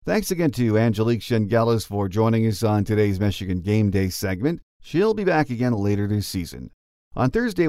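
A middle-aged or older man with a low voice hosts a sports show. The recording is dry and close, with the delivery of a talk host who also reads prepared bulletins. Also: dark tone, no room sound. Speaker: podcast host.

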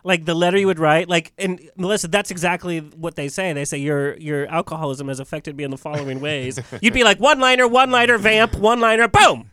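A man's voice: sits high, steady tone, sharp tone, smooth, dry recording. A man hosting a radio chat show, stuffy and nasal from allergies, joking and laughing between lines. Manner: playful, giggly, quick with jokes. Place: studio in Hollywood.

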